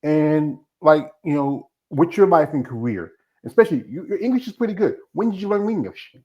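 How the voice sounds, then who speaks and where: A man's voice: a little high, very animated, normal speed. A man hosting a casual interview who asks rambling, enthusiastic questions. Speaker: interview host. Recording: slightly dull, lo-fi audio.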